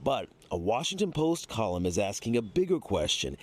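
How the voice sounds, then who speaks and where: A man's voice: lowish voice, big pitch swings, normal speed, breathy edge. An American man narrating a scripted television news report as a reporter.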